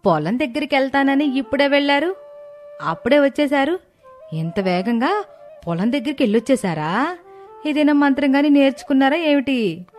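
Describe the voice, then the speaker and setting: Lady doing a sharp-tongued village wife, lively up-and-down voice, cartoon story. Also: high-pitched, moderate pace, long pauses, soft background music. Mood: teasing. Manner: scolding, exasperated.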